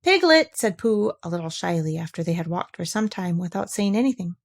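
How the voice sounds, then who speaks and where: A woman's voice: lowish voice, fairly even intonation, brisk, vocal fry, mellow, no room sound, clean studio sound. A woman reading a children's storybook aloud as scripted narration. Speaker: storybook reader.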